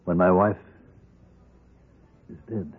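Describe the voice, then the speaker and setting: A man's voice: deep voice, fairly even intonation, takes it slowly, breathy edge, heavily acted. An actor playing a somber, weary, very wealthy man in an old-time radio drama, speaking solemnly. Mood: somber, resigned. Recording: muffled narrow-band old radio recording.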